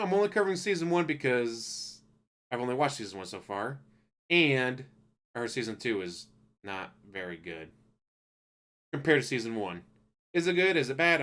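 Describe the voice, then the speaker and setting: A man giving a loose, profane spoken review of an anime, ranting casually and acting out characters in quick asides. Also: mid-range voice, pushed and bright.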